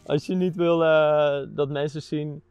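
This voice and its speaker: A young Dutch man giving a relaxed, chatty tour of the camper van he lives in, with many hesitations. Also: fairly high pitch, a little slow, smooth, faint music behind. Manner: relaxed, hesitant with many fillers, laughs often. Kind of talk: casual tour chat. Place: inside a small camper van, outdoors by it.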